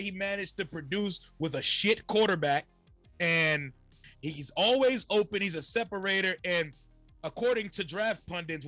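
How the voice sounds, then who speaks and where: A slightly hoarse man, a guest content creator, talking energetically and casually on a podcast. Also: high-pitched, no room sound. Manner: energetic, slangy, fast-flowing.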